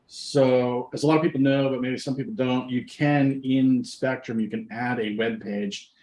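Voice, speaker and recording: A man's voice: mid-range voice, fairly even intonation, moderate pace, a little mellow. A man hosting an informal webinar demonstration, explaining things step by step in a conversational way. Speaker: webinar host and software demonstrator. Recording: roomy, echoing microphone sound.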